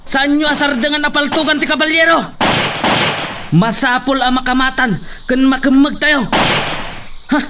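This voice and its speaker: Male actor as urgent henchman, high voice, obedient, radio drama, noisy recording. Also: steady tone, normal speed, pauses often. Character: obedient henchman leading an armed search party. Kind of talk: Ilocano radio drama acting.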